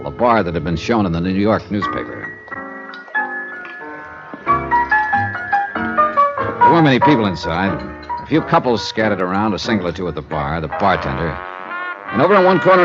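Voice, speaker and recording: An actor playing a confident insurance investigator, breezy, wry and matter-of-fact, in 1950s radio drama style. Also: male voice, fairly low pitch, sing-song, fairly quick. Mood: wry, narrating. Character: brisk, confident male freelance insurance investigator. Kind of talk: 1950s radio drama acting and first-person narration. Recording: muffled old radio recording with music.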